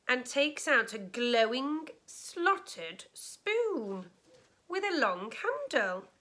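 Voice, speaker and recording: A woman teacher reading a storybook aloud to a class of children, expressively and with deliberate, explanatory care. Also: sits high, sharp tone. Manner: clear, deliberate explaining to young listeners.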